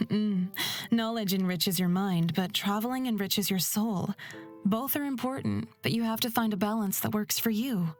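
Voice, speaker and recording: Woman acting a calm, reflective wagon driver. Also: medium pitch, faint music behind, close to an everyday voice. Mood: gentle. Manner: measured, thoughtful.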